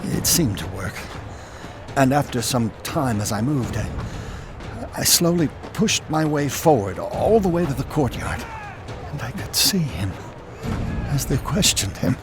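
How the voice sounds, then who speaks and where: A man's voice: mid-range voice, sing-song, noisy background. A man playing stammering, remorseful Peter. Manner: halting, stammering recollection. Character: remorseful apostle Peter, a grown fisherman. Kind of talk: first-person dramatic narration. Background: music.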